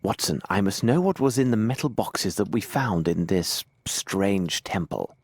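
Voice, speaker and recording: An actor playing a brisk, analytical English gentleman detective in a video game, speaking formally and precisely. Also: on the low side, expressive, breathy edge, heavily acted. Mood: analytical, grave. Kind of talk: video game cutscene acting.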